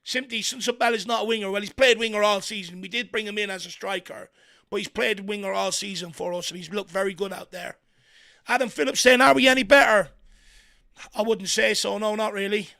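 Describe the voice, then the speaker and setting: An Irish man hosting a fan watchalong, giving excitable partisan live commentary on a football match with a croaky, worn voice. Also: high-pitched, brisk. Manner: excitable partisan, shouts encouragement at players. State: voice going croaky from hours of talking.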